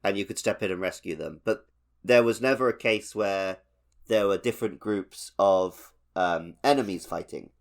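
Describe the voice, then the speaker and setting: Lowish-voiced man explaining casually. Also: moderate pace. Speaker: podcast co-host. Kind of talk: casual video game podcast chat.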